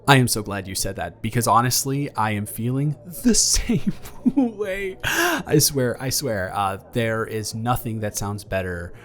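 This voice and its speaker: A young man playing a nervous, tender ex-boyfriend in an intimate, improvised romantic audio roleplay, speaking earnestly in a halting, rambling way. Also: mid-range voice, very animated, a bit bright, faint music behind. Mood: nervous, playful. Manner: halting, rambling, earnest delivery with repeated restarts.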